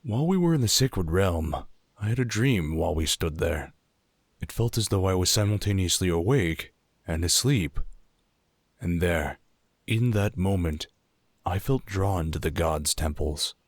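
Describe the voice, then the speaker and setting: A man's voice: deep voice, lively intonation, measured pace, dry recording, clean studio sound, full character voice. A man playing an earnest, reflective druid pilgrim in a fantasy audio drama, thoughtful and formal in speech.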